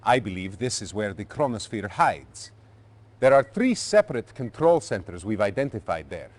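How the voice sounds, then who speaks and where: A stern Soviet military adviser speaks in formal, clipped phrases. His pitch moves in lively swings, dutiful yet accusing, as in a game cutscene. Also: normal speed. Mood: grave, reporting. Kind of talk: video game cutscene acting.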